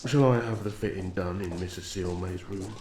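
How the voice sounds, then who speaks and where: Man acting as a deep-voiced, courteous elf servant. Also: moderate pace, natural voice. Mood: deferential. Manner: deferential, courteous, assured. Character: polite, proud male elf royal servant and tailor. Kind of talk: ASMR fantasy roleplay. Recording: echoey room, noticeable background noise.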